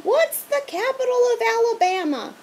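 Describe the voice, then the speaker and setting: A woman's voice: animated, moderate pace, a little mellow, slight background noise. A woman voicing a fussy, strict female teacher who has turned into a hairy snow monster, reading from a storybook. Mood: shrill quizzing. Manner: shrill, fussy, quizzing.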